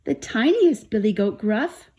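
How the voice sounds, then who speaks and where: A woman's voice: on the high side, very animated, a little slow, darkish tone, slightly rough, muffled recording, full character voice. A woman voicing a tiny, timid young billy goat in a storybook, pleading in a very small voice.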